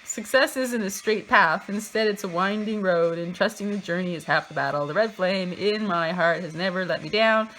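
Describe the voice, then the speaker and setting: A woman's voice: lowish voice, moderate pace, few pauses, fairly bright tone, faint music behind, rough recording. A woman reading a book aloud in a theatrical, mocking way, breaking into casual, sardonic asides of her own.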